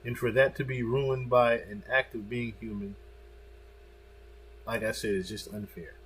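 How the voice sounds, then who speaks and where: A man's voice: mid-range voice, fairly even intonation, a little slow, fairly bright tone, a little hiss or hum, low-quality recording. A man commenting on a video in a casual, emphatic way, repeating himself for stress and swearing freely.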